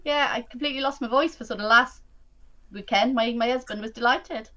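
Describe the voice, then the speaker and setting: A woman's voice: fairly high pitch, fairly quick. A woman guest chatting on a live stream, laughing and down-to-earth. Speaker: guest maker. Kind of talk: casual chat. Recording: dull lo-fi call audio with background noise.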